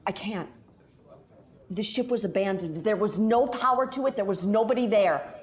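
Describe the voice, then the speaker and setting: A woman playing a wary, sharp-tongued space investigator in an audio drama, with a skeptical, plain-spoken manner. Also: medium pitch, moderate pace, close to an everyday voice. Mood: alarmed.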